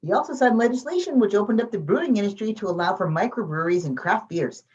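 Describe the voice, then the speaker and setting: A woman's voice: mid-range voice, lively intonation, fast talker, a little mellow, lightly acted. A woman at a script table read playing an earnest, persuasive, idealistic peacemaker, on a poor microphone. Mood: earnest pleading. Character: earnest, idealistic peacemaker who pities the shark. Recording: dull, distant lo-fi mic.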